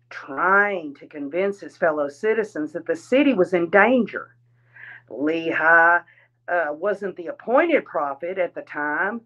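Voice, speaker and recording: A woman reading a written text aloud in a halting way, breaking phrases into short pieces. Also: on the low side, fairly bright tone. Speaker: reader of a written account. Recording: dull, lo-fi sound.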